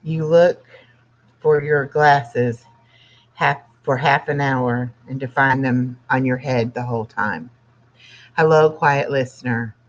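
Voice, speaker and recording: A sixty-year-old livestream host chatting casually and reading aloud in a plainspoken, halting way, with pauses between phrases. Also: mid-range voice. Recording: dull lo-fi livestream audio.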